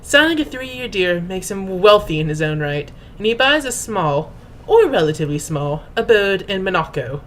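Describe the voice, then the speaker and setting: A woman's voice: medium pitch, very animated, moderate pace, hardly any pauses, a bit bright, a little hiss or hum. A woman host reading aloud as a dramatic narrator, in a pompous, mock-grand and formal manner. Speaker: host and reader. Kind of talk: theatrical dramatic reading of fan fiction.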